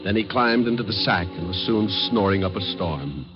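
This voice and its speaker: A man's voice: sits low, lively intonation, normal speed. A man narrating a ghost story with an American accent in a casual, folksy 1950s radio storytelling style. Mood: easygoing. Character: storyteller narrating a slangy Christmas ghost tale. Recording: dull old radio recording, loud music.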